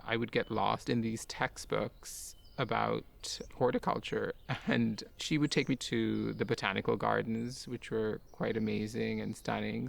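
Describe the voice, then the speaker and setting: A man who grew up in Kuwait, a garden owner and artist, talking in a soft-spoken, musing way with light laughs. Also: fairly low pitch, vocal fry. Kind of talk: reflective personal talk for a garden video.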